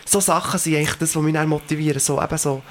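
A man's voice: a little high, steady tone, very fast. A man in his late twenties with a Swiss German accent, a singer answering interview questions in a reflective, earnest way with frequent fillers. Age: 20s.

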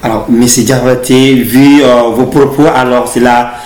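Male radio journalist, formal and slightly halting, recorded in a reverberant room. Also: mid-range voice, flat delivery, darkish tone. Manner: formal, hesitant questions with fillers. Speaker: interviewer, journalist. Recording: echoey room with faint ambient noise.

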